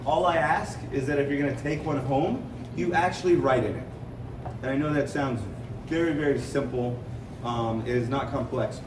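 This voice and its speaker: American man coaching in a noisy, echoey room. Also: mid-range voice. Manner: conversational, rambling, explains with analogies. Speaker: fitness coach leading a seminar. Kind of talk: informal seminar talk to a group. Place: room with an audience, mic at a distance. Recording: roomy, distant mic, clear background noise.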